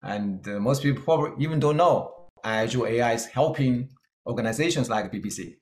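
A middle-aged man from China with a Chinese-Scottish accent, giving an earnest keynote talk with slides and pausing on fillers. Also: mid-range voice, darkish tone, roomy sound. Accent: Chinese-Scottish English. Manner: earnest, plain-spoken, with hesitant fillers. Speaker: keynote speaker.

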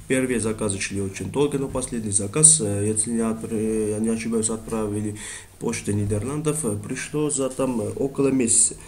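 A man with a lowish voice and a non-native Russian accent, speaking haltingly with frequent fillers and self-corrections. He talks through a screen-recorded review. A faint hiss sits under the voice. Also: fairly even intonation, warm tone. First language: not Russian. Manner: halting, many fillers and restarts. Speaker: online shopping reviewer.

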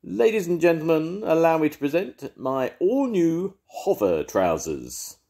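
An older man voicing a showy, smooth-talking male inventor dog in a storybook reading. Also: fairly high pitch, sing-song, unhurried, mellow, voice pushed up, full character voice. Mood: grand. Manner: suave, grand, pompous. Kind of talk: storybook read-aloud.

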